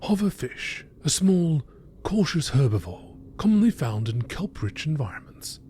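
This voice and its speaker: A man narrates like a nature documentary, reading scripted entries in a measured, articulate way. His voice is mellow and a little high, with lively intonation. The recording carries some faint noise. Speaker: narrator reading game text aloud.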